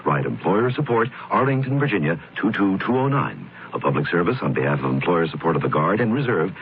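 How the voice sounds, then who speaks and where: A man's voice: low-pitched, very animated, fairly quick. A man reading a scripted public service announcement for radio. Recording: dull old broadcast, faint noise.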